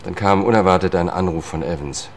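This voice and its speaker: An actor playing a weary, disgraced middle-aged lawyer who tells his story in a measured, understated way in a German radio drama. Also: sits low, slight background noise, close to an everyday voice. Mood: wry, confiding. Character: weary disgraced Washington lawyer, confiding and haunted. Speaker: first-person narrator and lead character. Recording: studio radio play with music.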